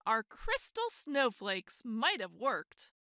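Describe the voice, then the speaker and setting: A woman reading a children's picture book aloud in an expressive, exclaiming way with drawn-out emphasis. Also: a little high, no room sound, clean studio sound. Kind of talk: animated children's read-aloud.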